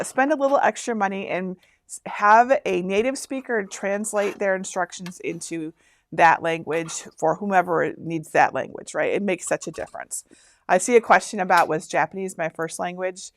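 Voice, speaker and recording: A chatty woman in her fifties from Michigan, hosting a casual live stream and rambling as she reads instructions aloud. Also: medium pitch. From Michigan, USA. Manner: chatty, rambling, laughs often. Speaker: host.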